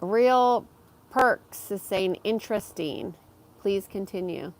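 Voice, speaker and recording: Slow, soft-spoken woman on a live stream. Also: mid-range voice. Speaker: spiritual guide hosting a live stream. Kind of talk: live stream Q&A, reading chat comments. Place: outdoors in her backyard.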